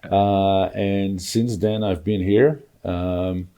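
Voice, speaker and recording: Casting: man in his 60s, from Israel, guest speaker, low relaxed mellow voice, unhurried with fillers. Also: clear, resonant tone. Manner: measured, halting with many fillers. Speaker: podcast guest, real estate broker. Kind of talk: casual interview talk.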